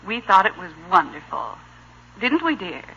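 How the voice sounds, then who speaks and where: An actress playing a proper, polite, gently chiding middle-aged mother in an old-time radio sitcom. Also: mid-range voice, sing-song, normal speed, slightly rough, dull audio, full character voice. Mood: politely gracious. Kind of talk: vintage American radio sitcom acting. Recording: humming, noisy old radio recording.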